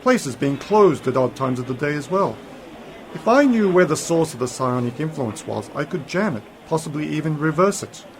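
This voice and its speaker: A man's voice: a little high, lively intonation, normal speed, a little hiss or hum. A man playing a mysterious, authoritative time-travelling uncle in an amateur science fiction radio play, pondering aloud with slightly theatrical emphasis. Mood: pondering, suspicious.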